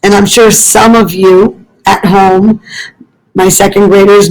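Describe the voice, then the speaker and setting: A woman's voice: medium pitch, low-quality recording. A woman, a school librarian, reading a story aloud to young children and carefully explaining it in asides.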